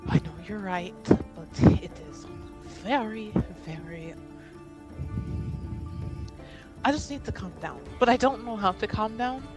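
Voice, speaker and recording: A woman's voice: medium pitch, expressive, moderate pace, music in the background, close to an everyday voice. A woman voicing an anxious, restless noblewoman in a tabletop game, fretful and repeating herself. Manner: fretful, repetitive.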